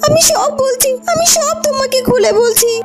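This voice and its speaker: Very high, meek cartoon girl. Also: moderate pace, over loud music. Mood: tearful pleading. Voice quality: very high, childlike voice. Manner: meek, pleading. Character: sweet, timid, mistreated young orphan girl. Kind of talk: children's fairy-tale cartoon dubbing.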